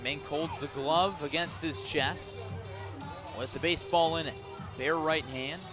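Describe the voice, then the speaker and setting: Higher-pitched man calling baseball on radio. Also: steady tone, over music. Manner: descriptive, unhurried between pitches, punchier on the action. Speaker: baseball play-by-play announcer. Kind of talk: live radio sports commentary.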